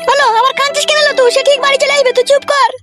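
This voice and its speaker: A man voicing a bossy, scolding older village mother-in-law in a comic cartoon, pitch artificially raised. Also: flat delivery, racing, sharp tone, faint music behind. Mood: bossy, nagging. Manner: nagging, coarse, bossy. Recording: clearly noisy track with clicks.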